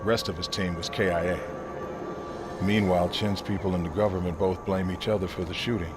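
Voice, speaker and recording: An actor playing a seasoned, weary soldier in a video game, speaking in a wry, matter-of-fact way. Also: male voice, low voice, fairly even intonation, a little fast, music in the background, natural voice. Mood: grim, matter-of-fact. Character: seasoned, weary male soldier telling grim past missions. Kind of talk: video game cutscene acting. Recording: loud vehicle and outdoor noise behind.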